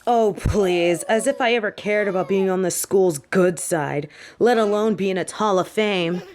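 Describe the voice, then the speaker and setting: A woman's voice: mid-range voice, a little slow, darkish tone, natural voice. A woman playing a defiant, hot-headed young witch student in a fantasy audio roleplay, arguing bluntly and sarcastically. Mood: scornful.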